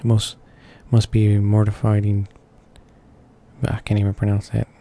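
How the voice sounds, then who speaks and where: Male gaming host, read-along narration. Very flat, dark lowish voice, stumbles over words. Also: a little slow. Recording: faint music behind the voice. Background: game music.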